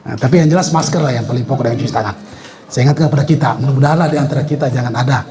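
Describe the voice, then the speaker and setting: A man's voice: medium pitch, very fast, warm tone. Older man speaking in an echoey room. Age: 60s. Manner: chatty and joking, with many hesitations. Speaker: retired health official addressing an association meeting. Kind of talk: informal speech at a meeting.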